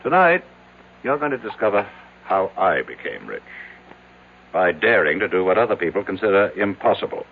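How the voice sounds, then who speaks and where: A man's voice: deep voice, very animated, moderate pace, long pauses, husky, narrow, tinny audio, full character voice. A male actor with an American accent playing an arrogant, self-made, skeptical businessman in brash old-time radio drama style, on a noisy old recording. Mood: defiant. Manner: brash, self-assured, declamatory.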